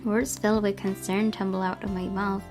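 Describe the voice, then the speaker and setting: A woman with a medium-pitched voice narrates a visual novel, reading the text aloud. Music plays clearly behind her. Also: flat delivery, moderate pace. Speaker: gaming channel host reading aloud.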